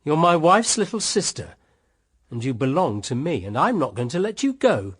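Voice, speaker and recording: An actor with a British accent playing a hearty, possessive husband with an emphatic, commanding way of talking, in radio drama style. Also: male voice, on the high side, big pitch swings, moderate pace, husky. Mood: firm, possessive. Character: hearty, possessive husband protecting his sister-in-law.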